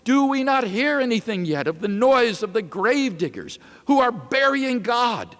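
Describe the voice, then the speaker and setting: A man's voice: very high voice, big pitch swings, measured pace, a bit bright, voice pushed up, heavily acted. A man voicing an anguished, accusing madman with declamatory, urgent delivery in a dramatic reading. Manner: declamatory, urgent rhetorical questions. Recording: reverberant lecture hall.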